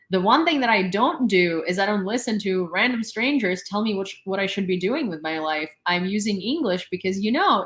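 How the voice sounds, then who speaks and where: A young woman from Ukraine with a Ukrainian accent, hosting a casual livestream commentary, sarcastic and animated, laughing between remarks. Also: on the high side, roomy sound. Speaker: news channel host.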